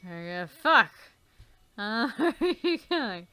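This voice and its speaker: A woman's voice: fairly high pitch, big pitch swings, slow, bright, forceful tone, smooth, dry recording. A woman doing casual game commentary, rambling and swearing a lot. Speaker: let's player.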